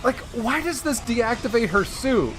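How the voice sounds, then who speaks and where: Playful man with lively, swinging pitch. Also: a little slow, music in the background. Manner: playful, theatrical exclamations. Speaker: co-host of a reaction stream. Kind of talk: casual reaction commentary.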